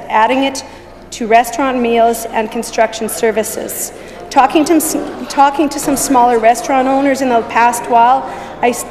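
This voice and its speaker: A woman with a croaky, strained voice delivers a formal opposition speech at a measured pace. Her voice sits a bit high. A long reverb from a large legislative chamber trails each phrase. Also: darkish tone. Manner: measured, deliberate, with some restarts. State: hoarse, croaky voice. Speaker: opposition member of a provincial legislature.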